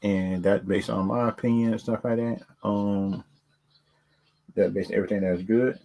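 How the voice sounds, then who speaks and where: A man's voice: on the low side, steady tone, moderate pace, warm tone. A man giving casual, unscripted opinions as a video host, rambling and often repeating words and stock phrases. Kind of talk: casual unscripted opinion talk on films and games.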